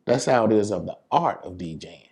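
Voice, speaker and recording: A man's voice: fairly low pitch, lively intonation, fairly quick, a little mellow, slightly rough. An American man, a DJ in an interview, explaining things casually and often checking that he is understood. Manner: explanatory, repeats "you know what I'm saying". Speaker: DJ and entertainer being interviewed.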